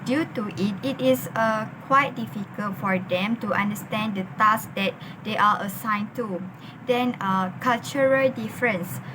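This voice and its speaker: A young Malaysian woman, a university student, giving a class presentation in a halting way, with many fillers. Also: fairly high pitch, background noise. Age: young adult.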